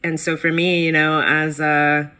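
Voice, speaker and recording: Bright-voiced animated woman co-host. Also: a little slow. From United States. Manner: animated, pitch jumps when excited, many fillers. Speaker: guest co-host. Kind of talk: casual podcast conversation.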